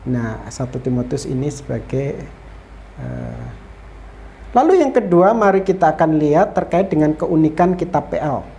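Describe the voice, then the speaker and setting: A woman teaches in an informal lecture style. Her voice sits on the low side and is soft-edged and mellow. She explains didactically, repeating points and adding small check-in tags. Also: expressive, moderate pace, vocal fry. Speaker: Bible teacher. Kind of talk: informal lecture explaining Old Testament introduction.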